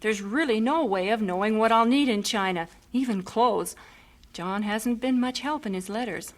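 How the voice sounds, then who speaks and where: A woman's voice: a little high, moderate pace, natural voice. An actress playing an earnest, heartfelt young woman missionary about to sail overseas in a vintage radio drama. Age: young adult.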